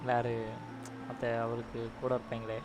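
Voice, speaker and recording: Male gaming streamer, Tamil accent, rapid-fire casual commentary, mid pitch, game score underneath. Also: completely flat delivery. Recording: game music behind.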